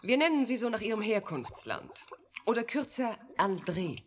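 An actress playing a composed, observant researcher in a German radio drama, phrasing expressively and deliberately. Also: female voice, mid-range voice, big pitch swings, slight background noise, natural voice. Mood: admiring, thoughtful.

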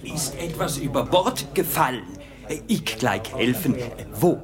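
An actor playing an eager ship's steward with a foreign accent, halting and speaking in broken grammar, in a radio play. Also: male voice, on the high side, animated, over music. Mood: puzzled, helpful. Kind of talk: 1970s radio play acting.

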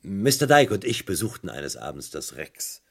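Needling male police inspector for a vintage crime radio drama: swooping intonation, slightly deep, falsely courteous and theatrical. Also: sing-song, moderate pace, gravelly, a bit bright, exaggerated voice. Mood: explaining, satisfied. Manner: feigned politeness, probing questions, theatrical emphasis. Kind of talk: vintage German crime radio play acting.

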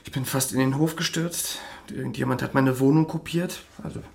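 An actor playing an anxious, confused young man who talks haltingly in a naturalistic German audio drama. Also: medium pitch, steady tone, creaky, darkish tone, close to an everyday voice. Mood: wry, awkward. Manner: halting, hesitant.

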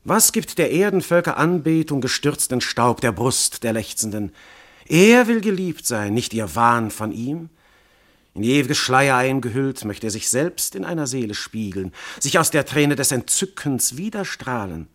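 An actor playing a god disguised as a mortal husband, ardent and commanding, in lofty classical verse for radio drama. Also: male voice, mid-range voice, very animated, measured pace, a bit bright. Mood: yearning, grand. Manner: impassioned, lofty, theatrical declamation.

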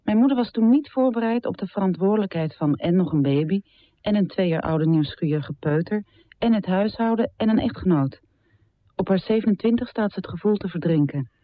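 An actress playing a poet's grown daughter, narrating memories of a difficult mother in a measured, reflective radio drama style. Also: medium pitch, dry recording, phone-quality sound, lightly acted. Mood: sober, reflective. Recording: thin, band-limited dry radio recording.

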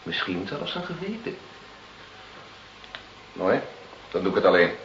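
An actor in a radio play voicing a mocking, mock-friendly gangster who smuggles drugs and blackmails. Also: male voice, deep voice, sing-song, unhurried, fairly bright tone, heavily acted. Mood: taunting, menacing. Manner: sly, mock-friendly, taunting. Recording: muffled, noisy, roomy old radio recording.

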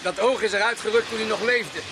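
An actor playing a matter-of-fact doctor in a Dutch radio drama, wry and chatty with a bluntly clinical manner. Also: male voice, high voice, fast talker. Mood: matter-of-fact, insistent. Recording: noisy old radio play recording.

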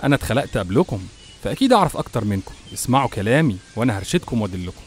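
A man's voice: mid-range voice, sing-song, very fast, a little hiss or hum, close to an everyday voice. Man playing a sly, swooping Egyptian devil tempter. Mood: persuasive. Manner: coaxing, insinuating, falsely sincere. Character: Satan, a sly persuasive male tempter. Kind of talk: animated religious story dramatization.

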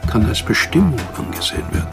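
An actor playing a calm, dangerous archivist who organises killings, speaking in a measured, formal way in a radio play. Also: male voice, lightly acted. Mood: matter-of-fact. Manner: measured, formal, matter-of-fact. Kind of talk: radio play acting and first-person narration. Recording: music behind the voice.

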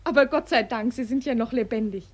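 A woman's voice: on the high side, brisk, lightly acted. An actress in a radio play as a kind young woman, coaxing, playful and insistent. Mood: startled, concerned. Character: kind, teasing young woman, lively and forthright. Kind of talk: German radio play acting.

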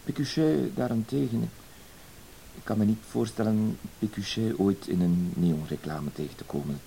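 A low, soft-toned voice with some hiss behind it, from an old radio play. a timid, self-deprecating man who hesitates and stammers. He is painfully polite yet quietly insistent. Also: normal speed, vocal fry, poor audio. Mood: timid, apologetic.

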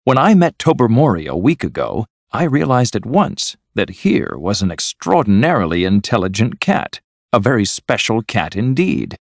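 A man's voice: fairly low pitch, very animated, moderate pace, creaky, dry recording, voice pushed up, heavily acted. A man voicing a patient, pompous male inventor lecturing proudly in a storybook reading. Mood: proud, earnest. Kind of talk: storybook character voice.

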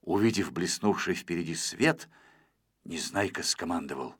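A man narrating a children's story as a dramatized audiobook, in an expressive, dramatic way. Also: low voice, normal speed, slightly rough. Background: music.

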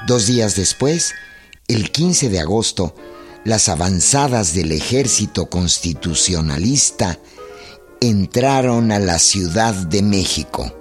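Historical drama narrator: male, lowish voice, formal, measured storytelling with prominent string music. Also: expressive. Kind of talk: scripted documentary-drama narration.

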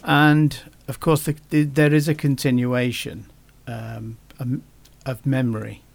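Male podcast guest, gravelly voice, hesitant delivery with frequent restarts. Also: mid-range voice, flat delivery. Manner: halting, many restarts and fillers. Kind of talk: casual podcast conversation.

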